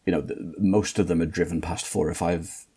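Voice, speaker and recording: Northern English male guest, deep husky voice, measured explainer. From Manchester, northern England. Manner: explanatory, steady, lots of fillers. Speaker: guest, transport planner. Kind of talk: podcast interview, explaining.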